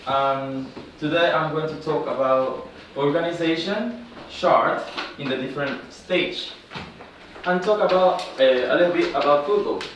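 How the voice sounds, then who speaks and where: A man from Ecuador with a Spanish accent gives an informal classroom presentation in halting, non-native English, often checking with a short tag question. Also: fairly high pitch. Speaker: student presenter, football coach. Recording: very echoey room, distant mic, noisy.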